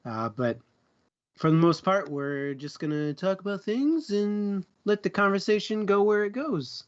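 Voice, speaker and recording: Muffled, higher-voiced guy co-hosting a stream. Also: unhurried. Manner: jokey, fond of puns. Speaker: co-host of a book discussion show. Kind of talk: casual livestream chat and plugs.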